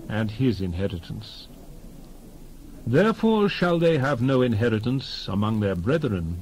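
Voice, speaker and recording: A man narrates scripture in a solemn, declamatory style. His mid-range voice rises and falls with lively emphasis through measured phrases. There is clear background noise in the recording. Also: mid-range voice, poor audio. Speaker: Bible narrator. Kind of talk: dramatized scripture reading.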